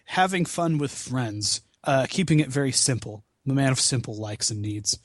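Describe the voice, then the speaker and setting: A man's voice: mid-range voice, expressive, normal speed, no echo, clean studio sound. A man chatting casually as a podcast guest about video games, swearing freely with a wry, self-deprecating manner. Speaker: podcast guest, video game marathon founder.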